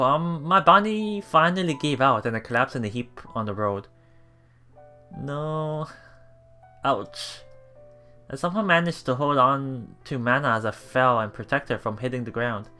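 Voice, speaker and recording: A let's player reading a visual novel aloud in a halting way, with emotionally invested asides. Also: mid-range voice, sharp tone. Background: game music.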